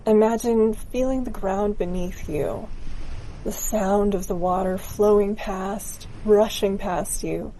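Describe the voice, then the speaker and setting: A woman narrating a scripted guided meditation in a gentle, soothing, unhurried manner. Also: mid-range voice, a little hiss or hum, poor audio.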